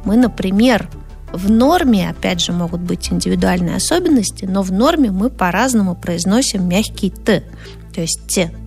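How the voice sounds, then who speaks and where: A woman from Moscow with a Moscow Russian accent, giving a conversational radio lecture as a linguist, lively and acting out the sounds she explains. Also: medium pitch, moderate pace, soft background music. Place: radio studio.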